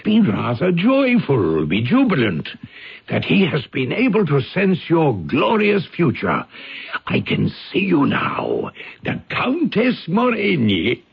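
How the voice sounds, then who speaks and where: An actor playing a grandiose, smooth-talking elderly bachelor count in old-time radio drama, coaxing in a florid, paternal way. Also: male voice, a little high, huge pitch swings, slow, exaggerated voice. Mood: exalted, coaxing. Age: older. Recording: dull lo-fi radio recording.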